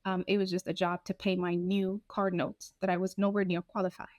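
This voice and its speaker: A woman in her thirties from Ethiopia, telling her own story in an interview, candid and animated, often acting out what people said. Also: on the low side, a little fast, dry recording, studio quality. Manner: frank, animated, acts out quoted dialogue. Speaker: guest.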